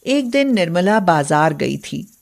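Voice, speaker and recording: A woman's voice: fairly low pitch, sing-song, measured pace, creaky, a little mellow. A woman narrating a scripted moral story as a storyteller.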